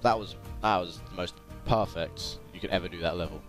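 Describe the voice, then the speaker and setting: A man's voice: deep voice, fairly quick. A young man giving casual let's play commentary, chatty and jokey as he talks over the game. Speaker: gaming video creator. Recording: game music and doubled game audio behind. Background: video game music and sounds.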